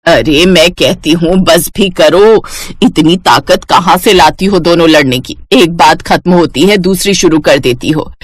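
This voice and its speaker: Woman voicing an exasperated older mother-in-law, pitched low. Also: unhurried, no room sound, clean studio sound. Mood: exasperated, weary. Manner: weary, complaining. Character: exasperated older mother-in-law scolding her quarrelling daughters-in-law.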